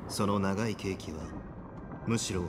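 Serious low voiced officer guy from a game, solemn and formal, loud music behind. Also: steady tone, a little slow. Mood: grave, composed. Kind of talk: Japanese video game voice acting. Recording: game music and background noise.

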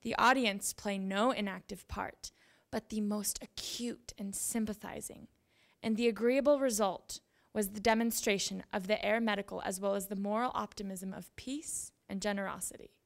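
A young woman giving a dramatic reading of old letters at a lectern, with measured, deliberate phrasing. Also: medium pitch, steady tone, gravelly. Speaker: reader at a literary event. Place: library event room with seated audience. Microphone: handheld microphone at a lectern.

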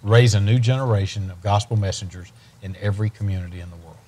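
A man's voice: on the low side, fast talker, dark tone. An older man, a ministry leader on a TV studio set, speaking earnestly and repeating key phrases for emphasis. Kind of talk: earnest interview talk.

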